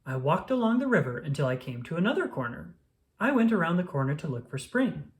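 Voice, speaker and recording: A reader voicing a calm, reassuring male frog from a children's storybook, telling things patiently and encouragingly. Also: medium pitch, fairly quick, lightly acted. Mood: gentle storytelling.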